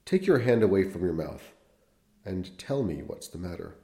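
A man's voice: low voice, very animated, normal speed. A man reading the part of a tormented priest who speaks haggardly and sharply to a frightened boy. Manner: haggard, then sharp and probing. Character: anxious tormented middle-aged male priest. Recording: roomy echo.

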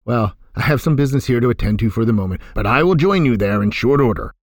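A man's voice: mid-range voice, lively intonation, quick pace, no room sound, studio quality, lightly acted. A man voicing a reformed boss who is giddy and gleeful, talking in a theatrical sing-song.

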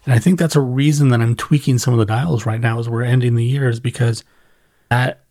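A man's voice: medium pitch, fairly even intonation, a little fast, mellow, no room sound, clean studio sound. A middle-aged man hosting a solo podcast, talking casually and reflectively as he thinks aloud and corrects himself along the way. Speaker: podcast host.

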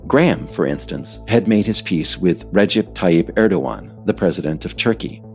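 An American man reading scripted political nonfiction as author and narrator, in a measured, emphatic way. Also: low-pitched, animated, darkish tone, soft background music.

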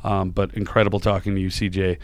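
A man's voice: deep voice, little pitch movement, fast talker, no room sound. A man hosting a casual podcast, rambling with lots of fillers and restarts, tired and a little dry-voiced. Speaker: podcast host. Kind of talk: casual podcast chat and interview.

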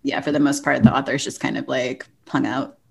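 A woman's voice: rumbling low, steady tone, brisk, creaky. A woman co-hosting a podcast, chatting informally and giving her opinions in a casual panel discussion. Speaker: library podcast co-host.

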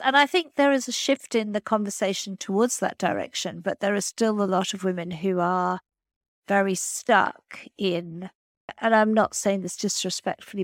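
Woman host, mid pitch, measured and affirming, dry close sound. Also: no room sound. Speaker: podcast host. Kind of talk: interview conversation.

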